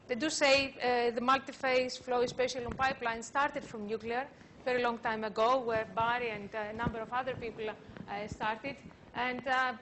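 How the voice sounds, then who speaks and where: A woman lecturer giving an academic conference talk, speaking in a halting way with frequent filler sounds. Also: high voice, a bit bright, a little hiss or hum.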